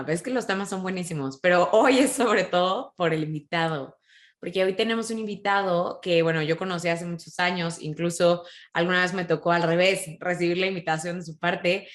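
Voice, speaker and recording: A woman hosting a podcast, talking with eager enthusiasm and frequent restarts. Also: on the low side. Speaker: podcast host. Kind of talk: casual podcast introduction.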